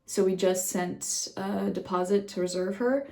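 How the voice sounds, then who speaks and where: A woman's voice: medium pitch, unhurried, gravelly, a little mellow. A young woman chatting casually in a vlog about her day and a book she is reading. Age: young adult. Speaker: vlogger.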